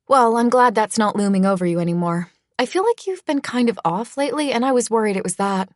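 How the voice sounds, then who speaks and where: A woman's voice: fairly high pitch, animated, quick pace, dry recording, clean studio sound, pitched above the performer's own voice, natural voice. A woman voicing a caring, upbeat young female best friend in a breezy, reassuring manner. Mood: concerned.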